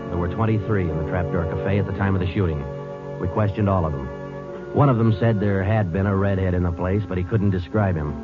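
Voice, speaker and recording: A man's voice: low voice, brisk, close to an everyday voice. An actor playing a terse police detective who narrates his case in a dry, matter-of-fact way in a 1950s radio crime drama. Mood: deadpan. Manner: deadpan, clipped, matter-of-fact. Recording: muffled old radio recording with music.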